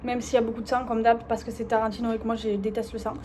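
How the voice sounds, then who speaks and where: A young woman with an accent from France, vlogging in an informal, slangy, expressive way, confiding casually in her viewers. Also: fairly high pitch, rapid-fire, a little hiss or hum. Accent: French from France. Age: young adult.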